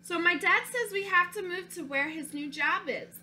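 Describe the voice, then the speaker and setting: Bright, lively woman teacher reading to kids. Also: very high-pitched, moderate pace, a little hiss or hum. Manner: gentle, asks children questions, sings a short song. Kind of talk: reading a storybook aloud to young children.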